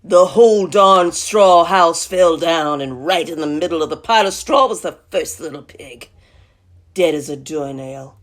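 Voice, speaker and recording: A woman's voice: sits low, unhurried. A woman voicing a folksy, indignant male wolf who tells his side of a storybook tale with mock innocence. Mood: self-excusing.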